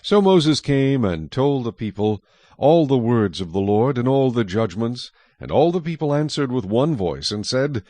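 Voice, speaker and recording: A man narrating scripture in a measured, solemn, scripted style for a dramatized audio Bible. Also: medium pitch, lively intonation, warm tone, dry recording.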